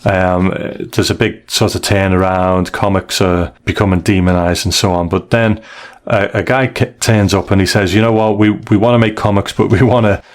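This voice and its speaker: A man with a fairly low voice hosts a casual review show. He recaps and weighs things up in a loose conversational style, feeling his way through the sentences a little hesitantly. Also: fairly even intonation, moderate pace. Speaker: podcast host.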